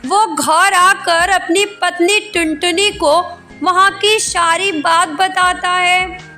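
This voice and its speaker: Very high, bright woman storyteller. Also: steady tone, slow. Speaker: story narrator. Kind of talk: scripted children's cartoon story narration. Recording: music behind voice.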